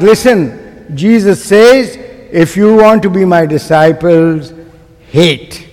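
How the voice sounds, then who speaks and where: A man with a Pakistani accent preaching a sermon to a congregation, using emphatic, deliberate phrasing and putting rhetorical questions to his listeners. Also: high voice, a little mellow. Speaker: guest preacher. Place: large church sanctuary. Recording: long hall reverb of a church sanctuary.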